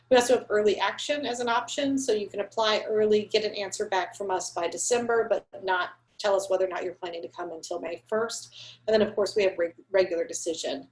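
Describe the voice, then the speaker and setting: A woman's voice: mid-range voice, poor audio. Woman, admissions counselor, chatty, friendly, video call sound. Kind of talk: webinar presentation.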